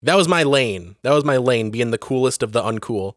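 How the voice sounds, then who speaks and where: A young man hosting a podcast, chatting casually in a calm, self-deprecating way. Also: medium pitch, a little fast, dry recording, clean studio sound. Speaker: podcast host. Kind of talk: casual chat.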